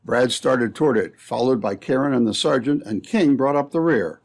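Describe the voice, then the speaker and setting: A male narrator of an old-time radio adventure serial. His voice is mid-pitched, creaky and husky, reading scripted scene descriptions. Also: moderate pace.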